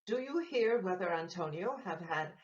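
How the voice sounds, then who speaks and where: A woman playing a gossiping, needling Venetian townsperson in a Shakespearean audio play, with measured, halting delivery. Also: on the low side, lively intonation, natural voice. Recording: muffled, echoey room recording.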